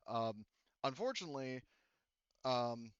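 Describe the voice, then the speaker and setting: Smooth-voiced man running a tabletop game. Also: mid-range voice, expressive, a little slow, dry recording, muffled recording. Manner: rambling, many fillers. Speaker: game master.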